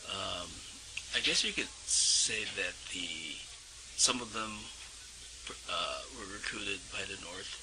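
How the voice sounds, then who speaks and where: A man interviewed as an expert in a documentary, speaking haltingly with many fillers and trailing into a near-whisper. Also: sits low, expressive. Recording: noisy interview audio.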